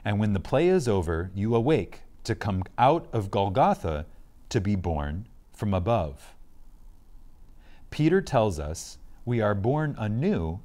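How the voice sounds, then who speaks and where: Guy reading a spiritual lecture real slow n solemn, lots of rise and fall, big pauses for emphasis. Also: lowish voice, sing-song, dark tone. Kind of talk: reverent scripted reading of a lecture.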